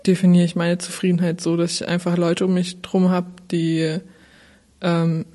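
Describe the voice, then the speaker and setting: An eighteen-year-old woman in a casual group chat, talking relaxed and slangy with hesitations. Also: on the low side, normal speed. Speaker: podcast participant. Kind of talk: casual round-table chat.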